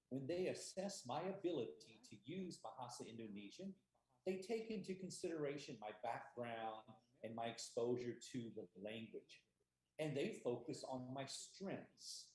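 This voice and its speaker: An American man giving a conference talk on teaching, speaking emphatically and stressing key words slowly. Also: mid-range voice, lively intonation, darkish tone. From United States. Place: remote conference stream. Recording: echoey room.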